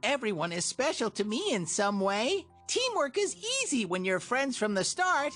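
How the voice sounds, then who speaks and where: A woman's voice: on the high side, sing-song, moderate pace, a bit bright, faint music behind. A woman voicing a peppy, sporty cartoon child, speaking enthusiastic sing-song rhymes for a preschool game. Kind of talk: scripted rhyming children's game narration.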